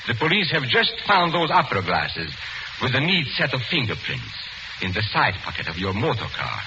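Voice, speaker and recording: An actor playing a suave, confident illusionist detective in a 1940s radio mystery, speaking deliberately with formal courtesy. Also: male voice, medium pitch, huge pitch swings. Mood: triumphant explanation. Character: male master illusionist sleuth, suave, confident, unmasking a killer. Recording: noisy muffled 1940s radio recording.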